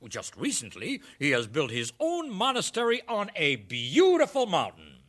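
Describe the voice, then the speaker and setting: A pompous, wildly swooping ambassador. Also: male voice, a little high, huge pitch swings, normal speed, fairly bright tone, full character voice. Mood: gushing. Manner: theatrical, hesitating with fussy ehs and uhs. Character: effusive, pompous foreign ambassador pushing loans and investors. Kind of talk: satirical radio drama acting.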